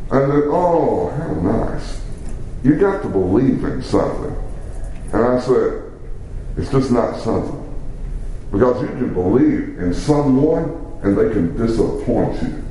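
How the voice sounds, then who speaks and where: A middle-aged man preaching a sermon, slow and emphatic, with dramatic pauses. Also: medium pitch, mellow, slight background noise. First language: English. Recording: very echoey room, faint background ambience.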